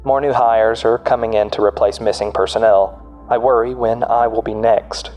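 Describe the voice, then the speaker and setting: A man's voice: lowish voice, steady tone, normal speed, a little mellow, faint music behind, natural voice. A man voicing an anxious researcher, reading secret worried messages in a plain, uneasy, confiding manner. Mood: fearful, regretful. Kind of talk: read-out in-story message.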